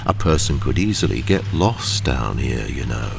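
Male actor: cold gang boss of a mine, deep and gravelly, commanding, veiled threat. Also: very animated, moderate pace, background noise, heavily acted. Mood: menacing. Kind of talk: radio thriller acting.

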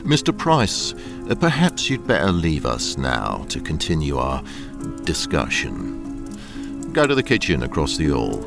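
Man playing a gravelly, slow, domineering gang boss. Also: extremely low pitch, wildly swooping pitch, over music, full character voice. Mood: cold, commanding. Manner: deliberate, coolly commanding. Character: cold, domineering smuggling boss, old mine owner's son. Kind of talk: radio drama acting.

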